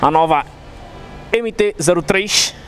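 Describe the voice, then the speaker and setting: Excited young Recife biker, high voice. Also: male voice, slow. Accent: Pernambuco Brazilian Portuguese. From Recife, Brazil. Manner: excited, slangy, hype-driven. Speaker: motorcycle vlogger. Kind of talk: casual slangy vlog chat. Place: indoor motorcycle showroom. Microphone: chest-mounted action camera.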